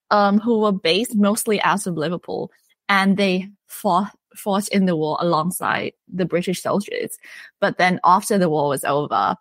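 A woman's voice: on the low side, no room sound. A young woman from Thailand, an author being interviewed, chatty and friendly, full of "like" and "um". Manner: friendly, chatty, many "like" and "um" fillers. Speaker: author and guest. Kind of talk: casual interview chat.

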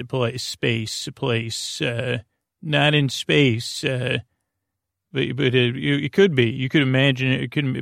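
A man hosting a bedtime podcast with a creaky voice, meandering gently and hesitantly through soothing, tangent-filled reassurance. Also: medium pitch, no room sound, studio quality. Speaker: host of a bedtime sleep podcast. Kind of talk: rambling, soothing bedtime monologue.

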